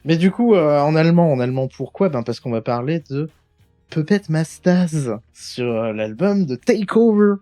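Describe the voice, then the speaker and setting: A man's voice: on the high side, lively intonation, normal speed, mellow, soft background music. A man co-hosting a casual chat show, teasing and joking with his friend. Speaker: podcast co-host.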